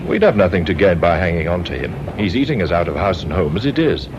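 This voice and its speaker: A cool, cynical kidnapper in a radio play, curt and drily mocking in a low, lively voice. Loud station noise runs behind him on a dull recording. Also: male voice, fast talker. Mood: coolly businesslike. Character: brisk, cynical male kidnapper, coolly businesslike. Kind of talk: British radio drama acting.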